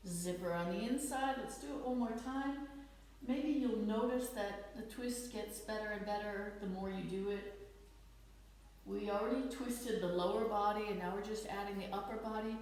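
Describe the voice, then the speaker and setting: A woman fitness instructor with a slight Dutch accent, leading a guided stretching class with gentle, encouraging cues. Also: medium pitch, moderate pace, far from the mic. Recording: echoey room, voice off-mic.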